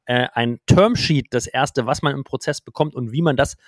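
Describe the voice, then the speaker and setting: A man's voice: medium pitch, normal speed, no room sound. Upbeat male podcast host, dry studio sound. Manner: upbeat, mixes English business terms into German. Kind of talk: casual interview with a scripted intro.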